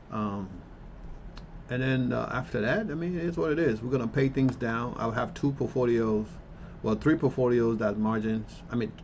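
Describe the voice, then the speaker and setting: A man's voice: on the low side, creaky, dull audio, a little hiss or hum. A man in his fifties hosting an investing channel, talking casually and rambling as he walks through his portfolio, with lots of ums and restarts. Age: 50s. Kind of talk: casual walkthrough of a portfolio tracker. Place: Colombia.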